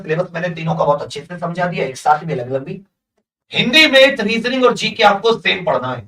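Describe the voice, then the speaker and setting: A man's voice: sits high, sharp tone, recorded from a distance. A man with a North Indian accent, an exam coaching teacher briefing students emphatically, asking questions and answering them in a familiar big-brotherly way. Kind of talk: exam update addressed to students.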